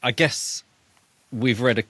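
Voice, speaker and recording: A man's voice: medium pitch, slow, fairly bright tone, no echo. A man co-hosting a book podcast, talking through a story in a casual way.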